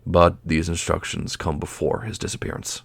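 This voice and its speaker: A man playing a solemn, formal guardian of mystical obelisks in a fantasy audio roleplay, with a gravelly, breathy, measured voice. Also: deep voice, little pitch movement, no room sound.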